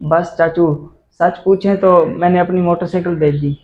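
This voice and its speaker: A man's voice: high voice, fairly even intonation, close to an everyday voice. A young man playing a caring, principled son and nephew in an amateur moral drama, earnest and reasoning. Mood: reassuring. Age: young adult. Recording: echoey room, dull, faint noise.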